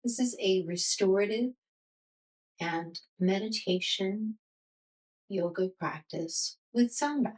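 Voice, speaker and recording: A woman leads a guided meditation as a yoga teacher. She speaks very slowly and soothingly, with gentle, unhurried instructions at an average pitch. Her voice sits a little away from the microphone. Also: animated, distant mic, rough recording.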